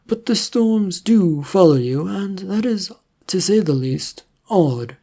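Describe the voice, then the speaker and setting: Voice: medium pitch, animated, takes it slowly, mellow, voice pushed up. A narrator voicing a businesslike male government agent who explains things formally in a story. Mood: serious.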